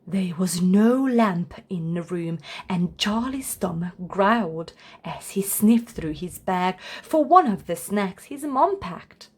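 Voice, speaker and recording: Female children's storybook reader, slow and very expressive. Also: medium pitch, slightly rough. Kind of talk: scripted read-aloud narration for children.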